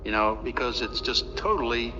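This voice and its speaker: A man gives political commentary with halting, emphatic repetition. His mid-pitched voice carries a long reverb, and music plays clearly behind the dull recording. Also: sharp tone.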